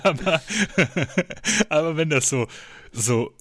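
A man's voice: fairly high pitch, animated, slow pace, fairly bright tone, no room sound, studio quality. A man chatting casually as a podcast co-host, in a joking manner. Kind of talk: casual chat.

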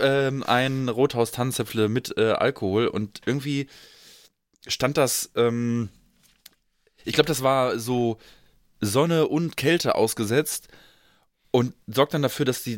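A man's voice: mid-range voice, a little slow, dry recording, clean studio sound. A man hosting a podcast, chatting casually and telling stories in a rambling, jokey way. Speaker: podcast host. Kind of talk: casual podcast banter and anecdotes.

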